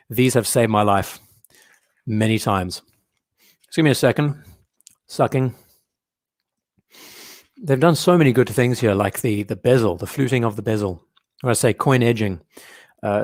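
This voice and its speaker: A young man hosting a live watch show from home in casual commentary, his voice hoarse and strained, often clearing his throat. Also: mid-range voice, a little mellow, dry recording, studio quality.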